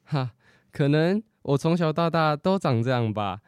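A man's voice: on the high side, animated, normal speed, clean tone, no room sound, natural voice. A teenage boy acting a cheeky, unrepentant grown-up younger brother in a radio drama. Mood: casual, teasing. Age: teens.

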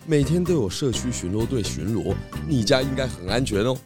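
Animated, courteous pig detective played by a man. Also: medium pitch, a little fast, music in the background, natural voice. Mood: assured. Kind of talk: Mandarin children's detective audio drama.

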